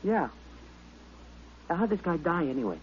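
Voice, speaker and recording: An actor playing a friendly, plain-spoken masseur in an old-time radio drama, talking in a casual, colloquial way. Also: male voice, a little high, big pitch swings, moderate pace, breathy edge, dull audio, exaggerated voice.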